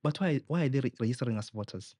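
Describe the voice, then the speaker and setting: A man's voice: mid-range voice, animated, racing, mellow, breathy edge, dry recording. Young Kenyan male guest, earnest campaign tone, dry close sound. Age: young adult. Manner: rallying, earnest, mixes Swahili phrases. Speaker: political aspirant guest. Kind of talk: political interview.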